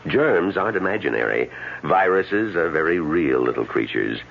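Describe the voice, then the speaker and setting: Very deep, gravelly suave healer. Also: male voice, very animated, moderate pace, exaggerated voice. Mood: knowing, needling. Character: suave, unruffled healer treating patients by mind power. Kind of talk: old-time radio drama acting. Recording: dull, noisy old radio recording.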